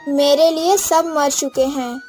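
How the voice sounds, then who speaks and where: High-voiced, earnest orphan village girl played by a woman. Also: steady tone, slow pace, a little mellow, faint music behind. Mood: bitter, resolute. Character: wronged orphan village girl, pleading, later proud shopkeeper. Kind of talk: Hindi moral-story cartoon dubbing.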